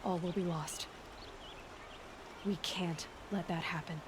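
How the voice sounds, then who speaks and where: A woman's voice: on the low side, fairly even intonation, slow pace, background noise. An actress playing a resolute, blunt young warrior woman in a video game, earnest and firm. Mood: urgent, firm. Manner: earnest, firm, plain-spoken. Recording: outdoor game ambience behind.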